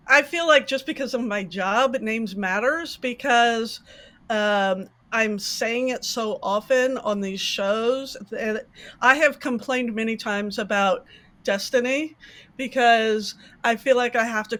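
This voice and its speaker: A woman panelist in casual podcast talk, animated and thinking aloud in long runs. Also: fairly high pitch, takes it slowly.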